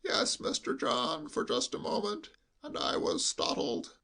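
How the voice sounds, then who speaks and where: A man reading a story aloud and voicing a timid old male butler with a high, bleating, quavering voice and a slow, deferential, hushed way of talking. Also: wildly swooping pitch, gravelly, heavily acted. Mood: timid, confiding.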